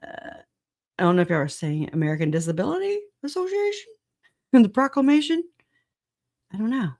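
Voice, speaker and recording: A livestream host with a raspy voice, hoarse after a recent illness, chatting casually and laughing a lot at themselves. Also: medium pitch, very animated, brisk, darkish tone. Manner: laughs often, self-deprecating, chatty. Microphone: computer mic on streaming software.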